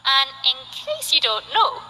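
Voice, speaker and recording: A woman's voice: high voice, wildly swooping pitch, a little slow, pushed and bright, faint music behind, full character voice, poor audio. A woman voicing a cheerful, chatty young female delivery courier in a video game. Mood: upbeat. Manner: bubbly, chatty, eager.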